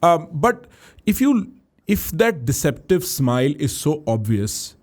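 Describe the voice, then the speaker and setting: A man's voice: a little high, big pitch swings, unhurried. A man speaking as a podcast host, asking questions in a casual, conversational interview style.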